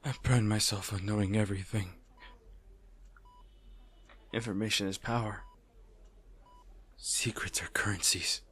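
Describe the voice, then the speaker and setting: A man playing an anguished, possessive villain in an intimate audio roleplay monologue, halting and brooding, his gravelly voice often slipping toward a whisper. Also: fairly low pitch, steady tone, fairly bright tone. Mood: accusing, intense. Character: anguished, possessive male villain at rival hero's bedside.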